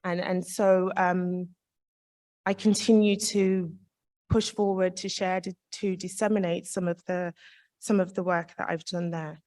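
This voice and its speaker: A woman academic talking unscripted on a panel in a halting, reflective way. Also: lowish voice, little pitch movement, darkish tone, no room sound.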